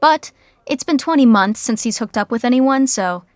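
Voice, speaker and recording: A woman's voice: fairly high pitch, lively intonation, moderate pace, no room sound, lightly acted. A woman playing the wry narrator of a scripted sitcom.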